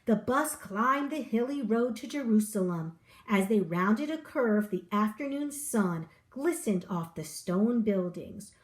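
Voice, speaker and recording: A woman reads a children's storybook aloud at a very unhurried pace. Her pitch sits in an ordinary middle range, and she delivers the story expressively, as a storyteller would. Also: lively intonation. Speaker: early childhood teacher reading a storybook. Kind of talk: children's picture book read-aloud.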